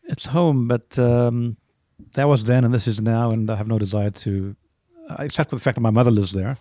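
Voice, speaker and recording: A middle-aged man with a softened South African accent, answering interview questions casually in measured, halting phrases. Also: medium pitch, no echo, studio quality. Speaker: podcast guest, music arranger.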